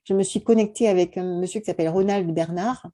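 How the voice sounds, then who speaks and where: A woman's voice: medium pitch, steady tone, fast, dark tone. A woman from France hosting an interview, talking earnestly and at length, with many fillers like "euh" and "voilà". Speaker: host. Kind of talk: casual conversation.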